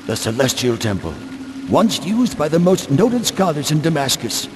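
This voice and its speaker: An old exiled scholar from a video game. His speech is formal and portentous, rising and plunging dramatically over clearly audible music. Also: a little high, big pitch swings, normal speed. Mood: ominous, instructive. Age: older. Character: grave, urgent old exiled scholar warning of evil.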